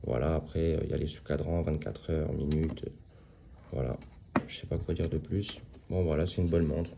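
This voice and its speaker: A man's voice: extremely low pitch, flat delivery, normal speed, creaky, noisy background. A man in his thirties giving a casual, hesitant unboxing review of a watch, with many fillers and restarts. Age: 30s.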